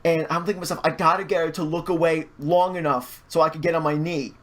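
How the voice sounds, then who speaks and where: Higher-pitched man in casual, stammering chat. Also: quick pace. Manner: animated retelling with stammered false starts and repeated words. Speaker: podcast guest, hobby artist and fandubber.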